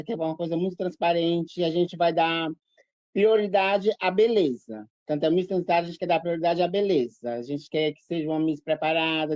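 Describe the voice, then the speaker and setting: A woman's voice: fairly low pitch, no room sound. A woman pageant coordinator answering interview questions over a video call, repeating phrases as she searches for words. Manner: warm, repeats herself while searching for words.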